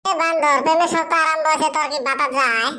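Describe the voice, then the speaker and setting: A man's voice: very high-pitched, fairly even intonation, racing, bright, forceful tone, full character voice. A man voicing a brash, teasing young village lad in a cartoon comedy. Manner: teasing, nagging. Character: brash, mocking young male village friend. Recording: clear background noise.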